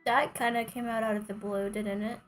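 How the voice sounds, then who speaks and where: A woman game streamer with a slightly high voice gives casual live commentary. She drops informal, joking asides while soft game music plays behind her. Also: fairly even intonation, brisk.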